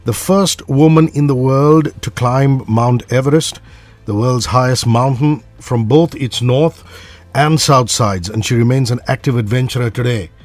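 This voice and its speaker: A man's voice: medium pitch, unhurried, a little mellow, dry recording. A man hosting a morning radio show, chatty and joking with his guest. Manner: jokey, chatty, laughs often. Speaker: radio host. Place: radio studio.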